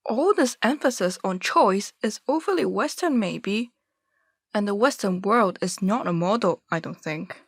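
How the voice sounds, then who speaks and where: Woman playing lively-pitched, questioning young student. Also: a little slow, breathy edge, dry recording, studio quality. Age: young adult. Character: questioning, opinionated young Hong Kong student. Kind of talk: stage drama dialogue.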